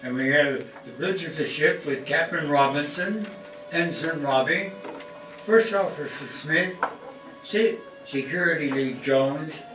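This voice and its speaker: A man's voice: on the high side, a little slow, over music. Male serial announcer, vintage radio style, distant roomy stage mic. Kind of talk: 1950s radio show announcing.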